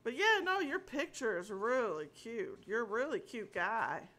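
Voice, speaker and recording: An older woman talks on a headset in a small home office. Her fairly high voice swings lively up and down as she chats in a friendly way. She laughs easily between sentences. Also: measured pace, slight background noise. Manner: chatty, friendly, laughs often. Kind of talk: casual phone chat.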